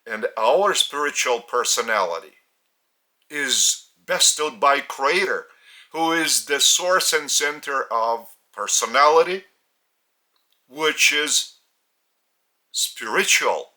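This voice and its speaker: Slow, bright-voiced Lithuanian man teaching. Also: mid-range voice. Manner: halting, phrase-by-phrase delivery with pauses. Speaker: spiritual teacher. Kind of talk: slow spiritual teaching talk.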